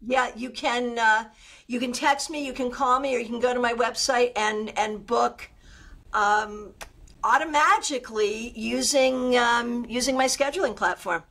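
An older woman with a loud, good speaking voice, chatting animatedly as a guest over a video call. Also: a little high, unhurried. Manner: animated, gestures a lot while talking. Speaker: tarot reader guest. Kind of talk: casual interview chat. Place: home room on a video call.

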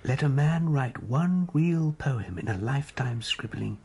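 An actor playing a gentle, loyal, bookish friend at a sickbed, speaking softly and soothingly in a radio drama. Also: male voice, medium pitch, lively intonation, husky. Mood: consoling, earnest. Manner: soft, soothing, measured bedside delivery.

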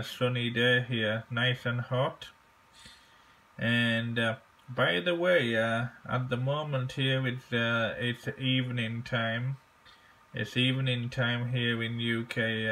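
An Englishman making an amateur radio contact, speaking haltingly and deliberately and repeating key phrases. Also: medium pitch, noisy background. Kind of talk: ham radio contact, phonetic spelling.

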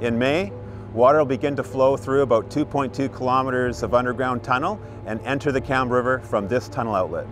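A man's voice: medium pitch, brisk. A middle-aged man, delivering scripted narration as a utility spokesman giving a project update. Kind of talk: scripted narration and on-site presenting. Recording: music behind the voice.

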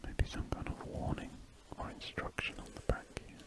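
A British man speaking in a breathy whisper, rambling slowly and musingly in an ASMR style as he draws at a desk. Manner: slow, musing, trailing off. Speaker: ASMR artist. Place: indoors at a drawing desk.

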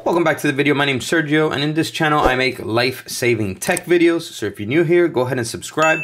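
A man's voice: mid-range voice, fairly bright tone. A man hosting a tech tutorial, explaining things step by step in a casual way.

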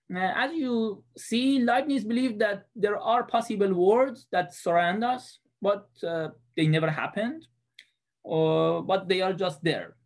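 Man, 30s, Persian accent, student presenter recorded on webcam; halting delivery with frequent fillers. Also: high-pitched. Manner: hesitant, many fillers and restarts. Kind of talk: class presentation lecture.

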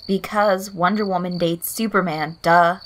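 A woman voicing a gruff, foul-mouthed boy with a flat, bored delivery in a story reading. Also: lowish voice, unhurried, a little hiss or hum. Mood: insistent. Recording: soft music behind the voice.

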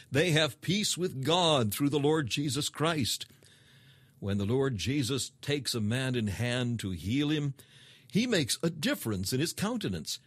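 A man reading a scripted sermon as audiobook narration in a formal, measured, emphatic manner. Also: mid-range voice, fairly bright tone, breathy edge, no room sound. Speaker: sermon reader.

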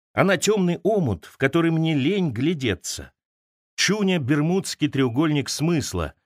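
Lively male audiobook narrator, dry close sound. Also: mid-range voice, no room sound. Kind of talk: literary essay read aloud, scripted narration.

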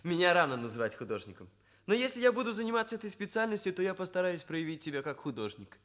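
An actor playing an earnest, self-assured young hairdresser in a Soviet radio play, with formal, stilted bookish phrasing. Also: male voice, high voice, very animated, fast, fairly bright tone. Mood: businesslike. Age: young adult.

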